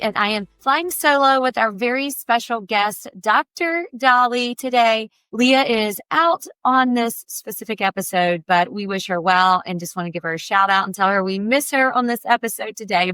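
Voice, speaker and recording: A woman's voice: medium pitch, fairly bright tone, clean tone, no room sound. Effusive woman podcast host. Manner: enthusiastic, effusive. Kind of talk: podcast interview.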